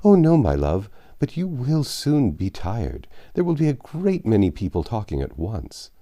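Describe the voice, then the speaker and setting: A man reading a storybook, voicing a gentle, fretful elderly father who fusses anxiously and plaintively. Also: lowish voice, huge pitch swings, unhurried, pitched above the performer's own voice. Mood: fussing. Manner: fussy, plaintive. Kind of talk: audiobook character voice.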